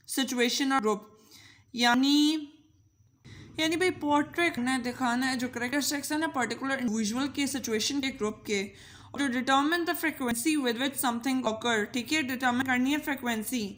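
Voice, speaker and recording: A woman's voice: fairly high pitch, fairly bright tone. A woman with a Pakistani accent gives an informal explanatory lecture, playful and conversational, mixing languages, her voice run through a voice changer. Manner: playful, code-switching, conversational. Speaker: teacher and channel host.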